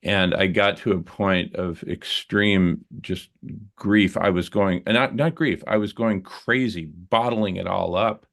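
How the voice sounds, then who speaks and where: A man sharing a personal story in a group conversation, earnest and reflective, halting with fillers and restarts. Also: low voice, a bit bright.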